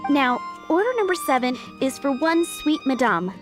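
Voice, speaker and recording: A woman voicing a busy, frazzled female restaurant manager in a video game, talking briskly. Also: high-pitched, lively intonation. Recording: game music clearly behind.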